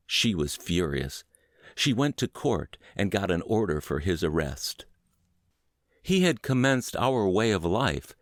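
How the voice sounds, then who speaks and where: A mature man reading a book aloud as scripted narration, in measured, deliberate phrases with frequent pauses. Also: low voice, no room sound, clean studio sound. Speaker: podcast narrator, reader.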